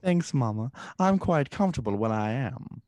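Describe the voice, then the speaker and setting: A man's voice: mid-range voice, animated, normal speed, darkish tone, no room sound, voice pushed up, close to an everyday voice. A man voicing a smart, self-possessed young society lady, coy, arch and airy, in a stage comedy table read. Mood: polite, airy.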